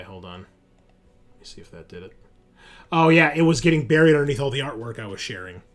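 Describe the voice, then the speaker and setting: A man narrating a tabletop roleplaying game as game master, in an informal, halting way. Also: medium pitch. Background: music.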